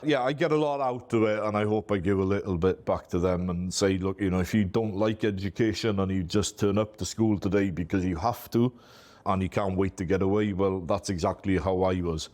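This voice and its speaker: A man's voice: sits low, fairly even intonation, brisk, darkish tone. A middle-aged man from Wales with a Welsh accent, a Welsh first-language speaker, talking casually and self-deprecatingly as an interview guest, often saying "you know". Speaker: MSP business owner, guest.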